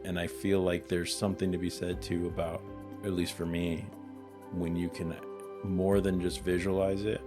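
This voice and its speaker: Man, forties, deep voice, flat delivery, candid, hesitant podcast co-host, music behind. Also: normal speed. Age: 40s. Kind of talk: casual chat.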